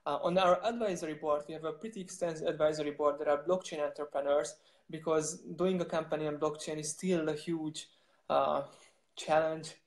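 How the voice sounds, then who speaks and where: A man's voice: fairly high pitch, steady tone, brisk. Man speaking in an echoey room. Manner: hesitant, many restarts and fillers. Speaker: startup co-founder. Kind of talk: interview answers.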